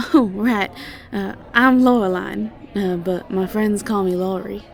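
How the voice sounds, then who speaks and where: A woman acts out a young siren college student in an audio drama, sweet and ditzy, with a Southern American accent. She talks slowly at a medium pitch, hesitating and stumbling over her words in flustered, airy chatter. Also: steady tone, vocal fry. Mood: sweet, friendly. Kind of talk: roleplay audio drama acting.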